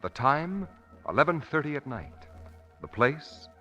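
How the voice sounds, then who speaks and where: A man's voice: fairly high pitch, very animated, measured pace, bright, forceful tone, lo-fi sound, soft background music. A man announcing from a script in an emphatic, declamatory 1940s radio style. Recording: vintage 1940s radio broadcast.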